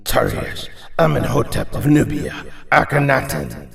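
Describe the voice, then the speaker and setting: A man voicing an ancient, proud demon villain in an audio comic book, with a raspy voice and a grandiose, menacing delivery. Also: medium pitch, fairly bright tone, distant mic. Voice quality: raspy, gravelly. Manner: slow, grandiose, deliberate.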